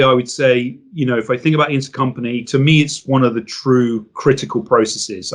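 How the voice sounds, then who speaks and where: A man speaking as an interview guest, explaining business matters in a measured, structured way. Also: mid-range voice.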